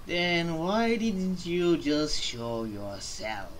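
A young man streaming a game from a room. His casual reactions come slowly, with faint ambience behind them. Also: a little high, slight background noise. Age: young adult. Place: indoor room, webcam stream.

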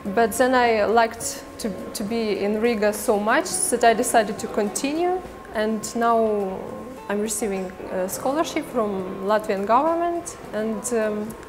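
A young woman from Lisbon, an art student answering interview questions hesitantly while searching for her words. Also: mid-range voice. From Lisbon, Portugal. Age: young adult. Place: indoor corridor.

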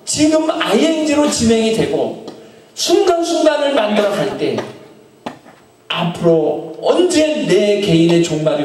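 High-voiced pastor in an echoey chapel. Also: male voice, darkish tone, a little hiss or hum. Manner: storytelling, drawn-out pauses, trailing off at phrase ends. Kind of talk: sermon with illustrative story. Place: small chapel in front of an altar. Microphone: handheld microphone. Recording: very echoey room, from a distance.

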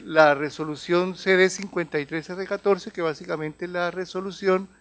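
Higher-voiced Colombian man presenting. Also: a bit bright. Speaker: health organization advisor giving a talk. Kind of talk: semi-formal conference presentation. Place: large conference room. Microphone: handheld or table microphone.

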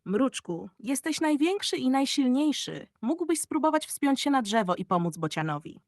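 A woman's voice: sits high, big pitch swings, moderate pace, darkish tone, no echo, clean studio sound, voice pushed up. A woman voicing a wise, resourceful female cat in a children's story, encouraging and decisive.

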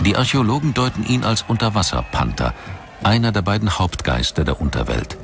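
A man reading scripted documentary narration in a measured, factual manner. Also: low-pitched. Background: background music.

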